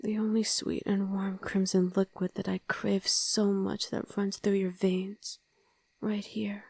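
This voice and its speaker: A woman's voice: medium pitch, level tone, creaky, a little mellow, breathy edge, no echo. A woman playing a loving, wistful vampire wife in an intimate ASMR roleplay, speaking tenderly and often in a whisper, close to the microphone. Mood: wistful, longing. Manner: slow, tender, coaxing murmur. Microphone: close, dry microphone.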